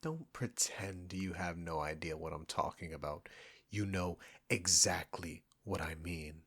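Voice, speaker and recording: Deep-voiced, irritable male chef, acted by a man. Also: a little slow, fairly bright tone, husky, heavily acted. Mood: exasperated, scolding. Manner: condescending, combative, sarcastic, swearing. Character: proud, defensive, irritable male restaurant chef. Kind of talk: scripted audio roleplay addressed to the listener.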